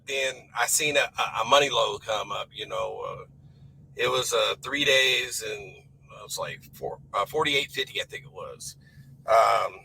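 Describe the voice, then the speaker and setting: A man who drives his own truck, talking casually about fuel discounts, his voice hoarse and raspy from a cold, rambling in a folksy, emphatic way. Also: medium pitch, sharp tone, a little hiss or hum, low-quality recording. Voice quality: rough and raspy. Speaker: owner-operator truck driver. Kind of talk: casual vlog talk to camera.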